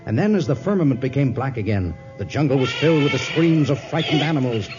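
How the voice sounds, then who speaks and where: A man's voice: medium pitch, expressive, quick pace. A man narrating a 1950s radio adventure in a dramatic, scripted storytelling style. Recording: dull vintage radio, loud music behind.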